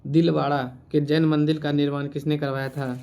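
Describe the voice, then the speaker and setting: A man's voice: on the high side, level tone, a little fast, darkish tone, smooth. A man teaching as a quiz host, reading out questions and answers in an instructional way and repeating the answers. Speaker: quiz teacher for exam preparation.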